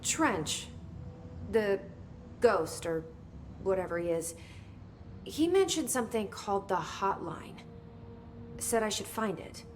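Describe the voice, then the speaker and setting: A woman's voice: medium pitch, expressive, slow, long pauses, slight background noise. An actress playing a determined young woman in charge of a secret agency, speaking tersely and directly in game cutscenes. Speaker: game protagonist. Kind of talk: video game cutscene dialogue.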